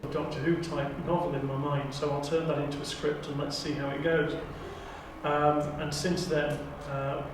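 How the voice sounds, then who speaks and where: A man's voice: on the high side, flat delivery, moderate pace. A man talking casually at an audition, as an auditioning amateur film maker. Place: echoey indoor university room. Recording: very echoey room, distant mic, faint noise.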